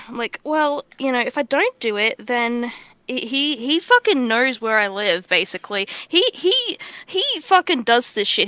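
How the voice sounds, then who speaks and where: A woman talks casually and swears freely as she discusses characters. Her voice is on the high side, crisp and bright, with a very dry sound. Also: no room sound. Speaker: voice actor on a livestream.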